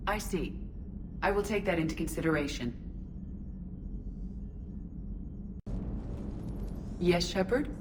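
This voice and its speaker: An actress playing a calm, literal, curious female ship AI in a robot body, speaking in a measured, formal, precise deadpan for a video game. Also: on the low side, noisy background, natural voice. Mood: calm, inquiring. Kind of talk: scripted sci-fi video game dialogue.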